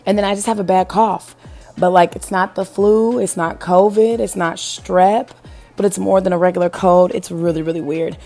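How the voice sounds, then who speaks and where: Hoarse, gravelly-voiced woman vlogger. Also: fairly low pitch, fairly even intonation, moderate pace, dark tone, faint music behind. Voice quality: gravelly, husky. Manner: chatty, rambling, asks viewers questions. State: hoarse from illness. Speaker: home decor vlogger. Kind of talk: casual voice-over chat.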